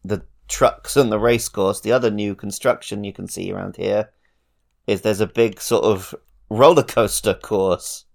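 A man co-hosting a gaming podcast in relaxed, informal conversation about a video game. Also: on the low side, measured pace, gravelly.

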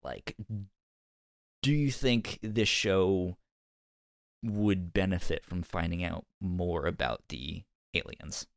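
A man co-hosting a casual podcast chat, speaking haltingly and repeating words as his thoughts form. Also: low-pitched, gravelly, dry recording, clean studio sound. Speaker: podcast co-host reviewing an audio drama.

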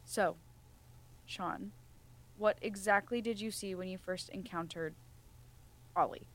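A composed female scientist played by a woman in an audio drama. Her medium-pitched voice is measured and formal, carrying firm authority with a gentle side as she questions and explains. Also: steady tone, lightly acted. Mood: probing, calm. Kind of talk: student audio drama acting.

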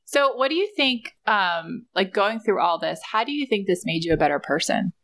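A woman's voice: mid-range voice, expressive, moderate pace, fairly bright tone. A woman in her thirties hosting a casual conversation in an effusive, emphatic way. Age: 30s. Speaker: podcast host.

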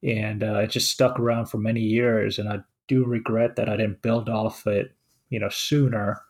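A man's voice: lowish voice, flat delivery, moderate pace. A man in his forties from Finland, co-hosting a casual podcast chat and speaking hesitantly with many fillers and restarts. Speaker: co-host.

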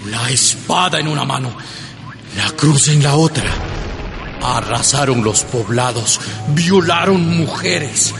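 Guy doing gold as a living thing, gravelly slow voice booming from a mountain, echoey with music under it. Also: lively intonation. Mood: scolding. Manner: slow, stern, corrects and scolds. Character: gold speaking from deep in a mountain, stern, mocking. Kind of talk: radio drama acting. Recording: reverberant with loud music.

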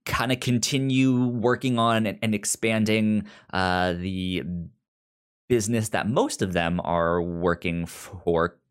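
A man's voice: fairly low pitch, slow pace, clear, resonant tone. A man hosting a casual TV review podcast, who often stammers and repeats the first sounds of words. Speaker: podcast host.

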